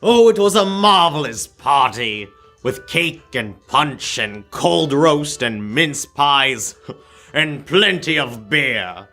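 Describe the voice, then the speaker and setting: A man playing a wistful, easily moved old miser in a radio play, halting and exclamatory. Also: fairly high pitch, lively intonation, sharp tone, full character voice. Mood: joyful, nostalgic. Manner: halting, exclamatory, stammering with emotion. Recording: music under the voice. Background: music.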